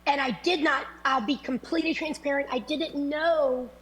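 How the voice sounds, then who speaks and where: A woman's voice: sits high, steady tone, moderate pace, a bit bright, low-quality recording. A woman from Louisiana, a political candidate who is sick, answering forum questions over a video call in an earnest, rambling way with many restarts.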